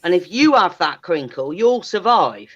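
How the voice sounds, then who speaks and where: A woman's voice: fairly low pitch, big pitch swings, unhurried, a bit bright, highs cut off. A woman, chatting candidly in a blunt, sweary, emphatic way. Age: 30s. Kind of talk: candid casual chat.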